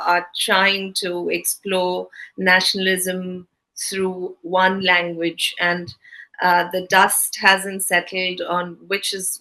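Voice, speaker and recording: A woman from southern India, a native Malayalam speaker with an Indian English accent, asking interview questions haltingly, with many fillers and restarts. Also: fairly low pitch, sharp tone, rough recording.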